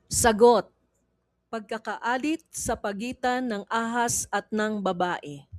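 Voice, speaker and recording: Slow, measured Filipino woman narrator. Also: on the high side, dry recording, studio quality. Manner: measured, deliberate reading with pauses between phrases. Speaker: quiz narrator. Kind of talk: scripted read-along Bible quiz narration.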